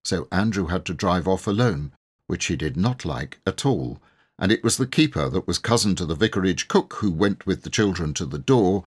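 Husky, low-voiced male storyteller. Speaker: audiobook narrator. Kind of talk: scripted children's story narration.

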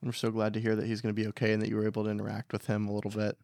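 A man's voice: on the low side, completely flat delivery, rapid-fire, vocal fry, a little mellow, no room sound, clean studio sound. A man hosting a podcast interview, asking earnest, sympathetic questions. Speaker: podcast host. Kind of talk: conversational interview.

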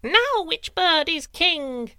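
A man doing a shrill boastful little wren. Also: big pitch swings, slow pace, bright, forceful tone, no echo, clean studio sound, full character voice. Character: small boastful female wren bird.

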